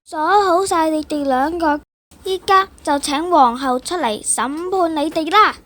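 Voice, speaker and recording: Woman as a very high-voiced court attendant. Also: unhurried, a bit bright, soft background music. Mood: dutiful. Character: obedient, cheerful court attendant of the queen. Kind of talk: stage play acting.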